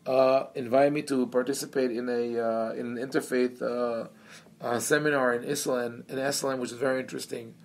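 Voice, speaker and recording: Brief: man from Israel, rabbi teaching a seminar, mid-pitched, stop-start delivery full of fillers. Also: a little mellow. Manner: hesitant, many fillers and restarts. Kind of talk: lecture.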